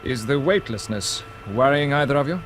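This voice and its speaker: An actor playing a calm, decisive spaceship commander in 1950s radio science fiction, giving clipped, authoritative orders. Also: male voice, mid-range voice, moderate pace, natural voice. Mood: composed, reassuring. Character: calm, decisive male spaceship commander, informal with his crew. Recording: noisy vintage 1950s radio recording.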